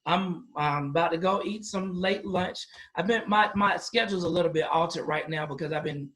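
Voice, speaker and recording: A man's voice: fairly high pitch, normal speed, fairly bright tone. An American man hosting a podcast interview, chatting casually in a jokey, affirming way. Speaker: podcast host.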